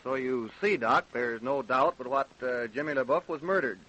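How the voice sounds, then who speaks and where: A man playing a shrewd small-town sheriff in an old radio crime drama, folksy, deliberate and probing. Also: medium pitch, bright, forceful tone, muffled recording.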